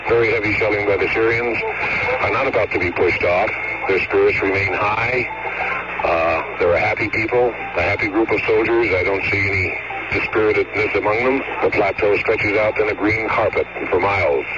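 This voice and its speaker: A man's voice: on the low side, steady tone, normal speed. Male correspondent in very noisy field recording. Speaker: foreign correspondent. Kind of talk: field news report. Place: outdoors on a mountain. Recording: very noisy, dull field radio report.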